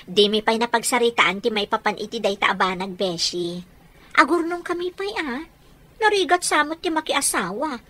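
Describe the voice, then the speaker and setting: Young Ilocano working girl in love, high bright voice, easily flustered, radio comedy drama, faint background noise. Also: animated, normal speed. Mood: flustered, teasing.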